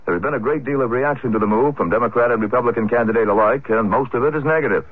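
A man's voice: mid-range voice, very fast, breathy edge, dry recording. An American man reading a scripted network radio news bulletin as anchor. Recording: muffled archival radio broadcast.

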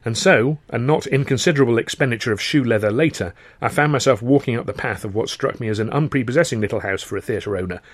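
A man's voice: mid-range voice, quick pace, few pauses, dry recording. A man narrating a novel as a dramatised audiobook, with wry, wordy expression. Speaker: author reading his own novel.

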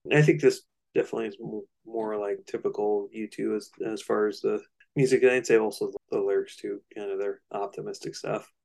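A man co-hosting a casual music discussion, analytical and somewhat halting as he thinks aloud. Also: on the low side, fairly even intonation, gravelly, a little mellow. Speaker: co-host of a music discussion show.

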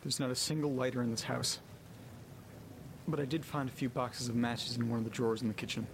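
A man playing a frightened character trapped in a haunted house, muttering to himself haltingly and swearing in a horror audio drama. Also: mid-range voice, little pitch movement, background noise, lightly acted. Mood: desperate resolve.

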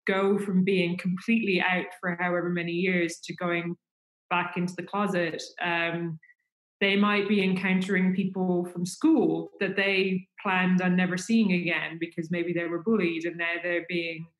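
A woman's voice: fairly low pitch, little pitch movement, normal speed, echoey room, low-quality recording. A woman with an Irish accent chatting casually and at length, with plenty of fillers. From Ireland. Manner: chatty, rambling with many fillers. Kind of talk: casual chat.

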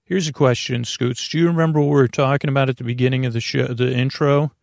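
A man hosting a bedtime podcast with a creaky voice, meandering hesitantly through a soothing monologue and often losing his thread. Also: medium pitch, fairly even intonation, fast talker, darkish tone, no echo, clean studio sound. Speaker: host of a bedtime story podcast to help listeners sleep.

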